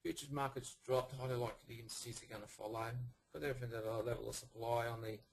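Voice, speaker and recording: Hoarse gravelly man walking through charts. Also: mid-range voice, fairly even intonation. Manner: halting, with many fillers and pointing phrases. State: hoarse, losing his voice. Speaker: forex trading room presenter. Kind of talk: live chart analysis talk.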